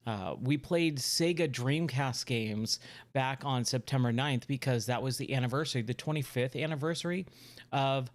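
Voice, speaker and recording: A man hosts his own retro game stream, chatting casually while he waits for games to load. His voice sits at an ordinary middle pitch but sounds a little hoarse and worn from the work week. He stays upbeat and talks his way through each moment of the stream. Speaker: streamer and channel host. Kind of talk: casual live-stream chat.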